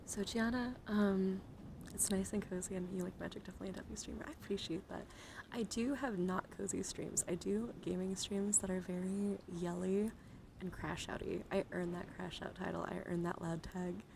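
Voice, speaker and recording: Soft-spoken, gravelly-edged woman doing livestream ASMR. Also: mid-range voice, steady tone, normal speed. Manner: soft-spoken, often dropping into whispers; soothing, gently encouraging. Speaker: livestreamer hosting an ASMR card-showing stream. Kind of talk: ASMR, casual livestream chat. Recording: clearly audible background noise.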